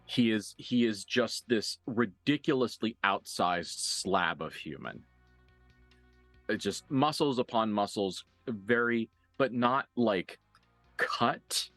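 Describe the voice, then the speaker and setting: A man improvising narration as a game master for a horror tabletop game, conversational and halting, with graphic detail. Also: lowish voice, fairly bright tone, no room sound, faint music behind.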